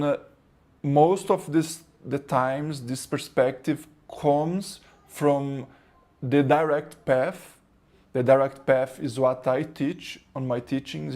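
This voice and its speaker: A man with a Brazilian Portuguese accent, a spiritual teacher speaking to camera in English, his second language, halting and searching for words. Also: medium pitch, creaky, darkish tone.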